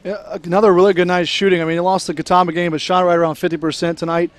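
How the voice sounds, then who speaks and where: Higher-voiced broadcaster asking long questions. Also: male voice, little pitch movement, rapid-fire, dry recording. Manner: conversational, long framing questions. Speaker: sports broadcaster. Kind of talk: postgame interview questions. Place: small studio booth with a logo backdrop. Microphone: headset mic.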